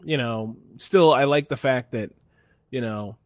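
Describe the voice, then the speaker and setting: A man with a middle-pitched voice tells a meandering story in a relaxed, easygoing way. He stumbles through restarts and fillers, and the recording sounds dry and close with no room echo. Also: measured pace. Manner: rambling, laid-back storytelling with many fillers and restarts. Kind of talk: casual chat.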